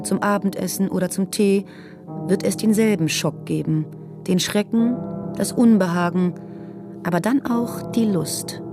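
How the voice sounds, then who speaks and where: A woman narrating a literary radio drama in scripted prose. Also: on the low side. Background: instrumental music.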